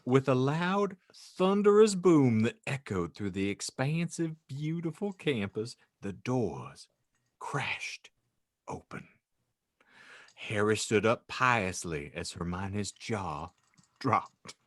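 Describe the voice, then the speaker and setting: A man's voice: medium pitch, animated, takes it slowly, dry recording. A man hosting a podcast, narrating fan fiction in a dry, mock-serious way. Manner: dry, mock-serious reading, breaking into laughs.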